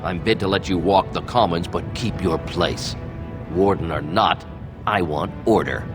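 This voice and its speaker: Casting: world-weary dwarf captain, deep gravelly tough-guy tone, curt and dismissive, fantasy game, music underneath. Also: a little slow. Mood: stern, angry. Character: gruff dwarven guard captain, stern, short-tempered, world-weary. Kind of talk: fantasy video game voice acting.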